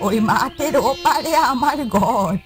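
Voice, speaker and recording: A poor old village woman, acted by a woman for a cartoon, pleads in a kindly way. Her voice is lower than a young wife's but still on the high side for a woman, with faint music behind her. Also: expressive, slow. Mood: pleading. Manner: pleading, blessing, addresses others as mother. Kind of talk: cartoon voice acting.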